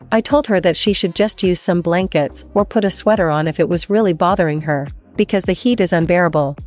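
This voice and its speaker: Lower-voiced woman narrating a story. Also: darkish tone. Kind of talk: scripted narration of a reddit post.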